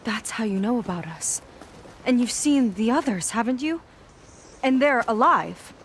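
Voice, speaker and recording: A woman's voice: a little high, lively intonation, a little slow, a little hiss or hum. An actress playing an earnest, guarded young seafaring woman in a video game cutscene, speaking conversationally. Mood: hopeful, urgent.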